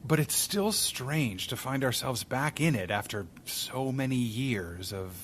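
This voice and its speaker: Man acting as a former adventurer, reflective, wistful, middle pitch, faint hiss. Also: expressive, unhurried, lightly acted. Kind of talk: audio drama acting.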